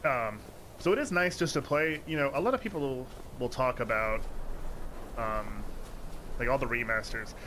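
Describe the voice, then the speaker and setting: A young man talking casually over a game he is playing, wandering from thought to thought and filling gaps with "like" and "um." His voice sits at an ordinary middle pitch and leaps up when something excites him. A steady hiss of noise runs underneath the whole recording. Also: normal speed, poor audio. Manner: rambling, heavy use of "like" fillers. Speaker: let's play commentator. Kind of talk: casual rambling commentary over gameplay.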